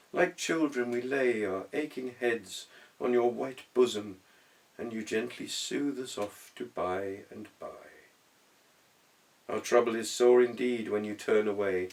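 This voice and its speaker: A man giving an expressive, theatrical and wry reading of a humorous literary essay, with measured dramatic pauses. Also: medium pitch, vocal fry, distant mic, poor audio. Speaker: audiobook reader.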